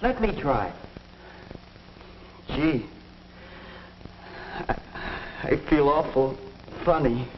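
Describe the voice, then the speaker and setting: A man's voice: on the high side, big pitch swings, drawn-out words, long pauses, exaggerated voice. An actor playing a naive, slangy teenage gang boy in a 1950s educational film. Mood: eager. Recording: muffled, echoey, noisy old film soundtrack.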